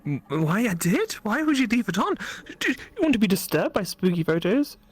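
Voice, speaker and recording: A man co-commentating on a game in casual chat, animated and teasing. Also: sits high, fairly quick, breathy edge. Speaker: co-commentator on let's play.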